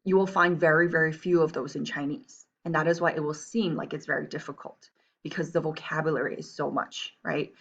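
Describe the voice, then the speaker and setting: A woman from Taiwan teaches a recorded online class with a low, gravelly voice. She talks patiently, keeps reassuring her students and checks in with them often. The audio is dull and lo-fi. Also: steady tone, brisk, a bit bright.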